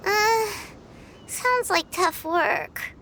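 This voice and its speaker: A woman voicing a tiny, childlike fairy companion in a fantasy game, squeaky, naive and questioning. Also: extremely slow, sharp tone, a little hiss or hum, full character voice. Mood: puzzled. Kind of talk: cartoonish fantasy game dialogue.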